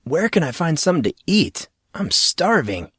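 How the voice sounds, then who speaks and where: An awkward fourteen-year-old schoolboy, voiced by a man in an audiobook. He is polite but easily flustered, talking casually and hesitantly in a raised pitch. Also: sing-song, moderate pace, fairly bright tone, husky, no room sound, clean studio sound, heavily acted. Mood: sheepish, curious.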